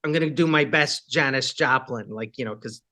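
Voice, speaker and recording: A rock singer with a high voice, chatting casually in an interview and rambling with many fillers and asides. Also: male voice, normal speed, sharp tone.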